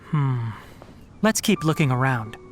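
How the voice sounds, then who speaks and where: A man playing a thoughtful, calm young male investigator in an anime game, measured and pondering. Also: medium pitch, noisy background, natural voice. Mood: analytical. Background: game music.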